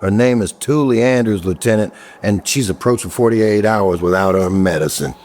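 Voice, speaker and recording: A man playing a gruff, irritable detective in an audio drama, blunt and grumbling. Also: on the low side, normal speed, darkish tone, a little hiss or hum, lightly acted. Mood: defensive, firm.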